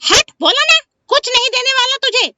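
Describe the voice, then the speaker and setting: Woman plays stingy cartoon gentleman, contemptuous and bossy, extremely high piercing voice, slightly dull recording. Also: animated, normal speed, no room sound, heavily acted. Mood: scornful anger. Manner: scolding, contemptuous. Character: haughty, stingy, scornful male shoeshine customer. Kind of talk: exaggerated animated moral-story dialogue. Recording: dry, dull lo-fi studio sound.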